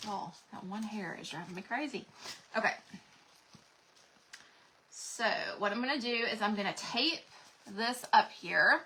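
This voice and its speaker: A woman's voice: mid-range voice, measured pace, pauses often, bright, forceful tone, slight background noise. A woman, chatting folksily with viewers while demonstrating crafts live from home. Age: 40s. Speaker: craft channel host.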